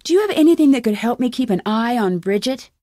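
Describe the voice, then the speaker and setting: A woman's voice: on the high side, animated, fast, warm tone, no echo, studio quality. An actress voicing a determined, inquisitive young woman detective with an American accent in a video game, earnest and questioning. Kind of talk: video game voice acting, isolated dialogue lines.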